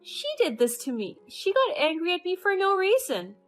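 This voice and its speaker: A woman's voice: very high-pitched, sing-song, moderate pace, soft background music, pitched above the performer's own voice. A woman voicing a scheming, spiteful older mother-in-law who talks in a mocking, babyish tone. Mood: whining. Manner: mocking, babyish, fake-sweet.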